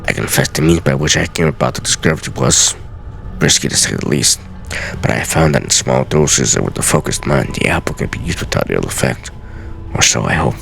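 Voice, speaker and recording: An old master assassin, performed by a man, recites his journal with theatrical gravity. The voice is extremely low and thick with gravel, over clear background music. He sounds weary and solemn, measuring each phrase like a game's storyteller. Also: animated, a little fast, fairly bright tone, heavily acted, poor audio. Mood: measured, proud. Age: older. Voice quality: very deep, gravelly.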